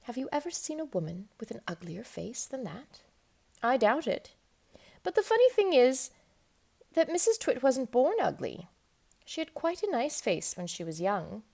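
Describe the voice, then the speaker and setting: A woman reading a children's book aloud in an expressive, emphatic storytelling manner. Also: a little high, normal speed, creaky. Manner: animated, emphatic storytelling with dramatic stresses. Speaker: storybook reader.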